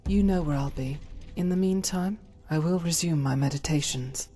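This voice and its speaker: A woman voicing a solemn, zealous cleric in a fantasy video game, speaking in a formal, measured, pious way. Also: deep voice, dark tone, breathy edge, soft background music. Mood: righteous, solemn. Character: solemn, zealous female holy cleric, unshakably devout. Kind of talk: video game character dialogue.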